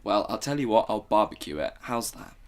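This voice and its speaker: A woman's voice: rumbling low, very animated, a little fast, exaggerated voice. A woman acting as an anxious middle-aged husband and father in a radio drama, blustering and demanding answers. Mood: worried.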